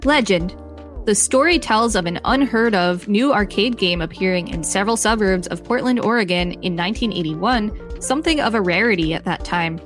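A woman with a medium-pitched voice reads reference text aloud as a podcast co-host. Faint music plays behind her. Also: normal speed. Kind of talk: scripted article reading with light commentary.

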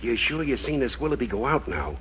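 Muffled old radio comedy with faint noise presents a small-time crook's nervous sidekick. He is slangy and grumbling, with a slightly high voice that moves up and down freely. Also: big pitch swings, a little fast, a bit bright. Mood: nervous, reproachful. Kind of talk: 1940s radio comedy acting. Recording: band-limited, faintly noisy 1940s radio recording.

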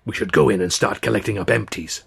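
A man's voice: lowish voice, quick pace, vocal fry, fairly bright tone, breathy edge, heavily acted. Man acting eager hustler, sly, down on his luck, conspiratorial, informal speech, audiobook character. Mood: gleeful, conspiratorial. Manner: conspiratorial, informal, clipped grammar.

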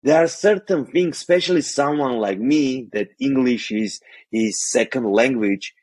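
A man's voice: mid-range voice, measured pace, a little mellow, no room sound. A man from Armenia with an Armenian accent, a guest on an interview podcast, explaining money tips carefully with some non-native phrasing. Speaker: guest, financial coach.

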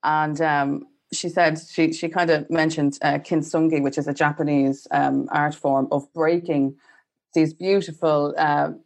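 A woman's voice: deep voice, flat delivery, unhurried, gravelly, a little mellow. A woman from Ireland hosting a podcast, talking in a rambling way with many "you know"s and "like"s. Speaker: podcast host. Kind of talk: podcast conversation.

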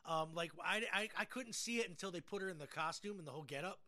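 A man's voice: high voice, fast talker, bright, forceful tone. A man hosting a podcast in casual chat, with a jokey, self-deprecating manner. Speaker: podcast host. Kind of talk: casual chat about games and music.

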